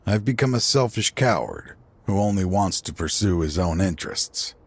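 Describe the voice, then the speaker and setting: An actor playing a weary, regretful older man in a video game, a grieving father who sighs and talks slowly. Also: deep voice, animated, slight background noise. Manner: weary, slow, sighing. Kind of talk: game cutscene voice acting.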